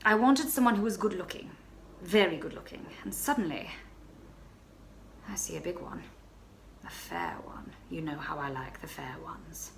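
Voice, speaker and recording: A woman's voice: mid-range voice, animated, fairly quick, slight background noise, close to an everyday voice. A woman voicing a flustered young married baroness who confides her misadventure, stammering and breathless. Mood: confiding.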